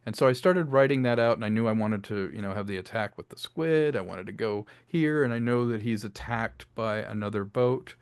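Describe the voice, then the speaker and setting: A man's voice: fairly low pitch, brisk, few pauses, smooth, no echo. A director and writer in a casual interview, talking about his audio drama and slipping into voiced quotes. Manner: animated, slips into voiced quotes, laughs between thoughts.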